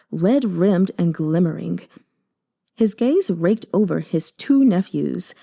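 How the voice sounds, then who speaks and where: A woman narrating a translated novel as an audiobook, in a measured, even storytelling manner. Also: mid-range voice. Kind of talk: reading a translated web novel aloud.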